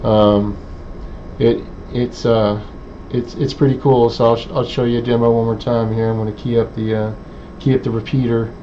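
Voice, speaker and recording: A man giving an unscripted technical demonstration in a halting, hesitant way, thinking aloud as he explains. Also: medium pitch, little pitch movement, dark tone. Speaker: presenter of an electronics how-to video. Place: indoor electronics workbench. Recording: dull lo-fi audio with clear background noise.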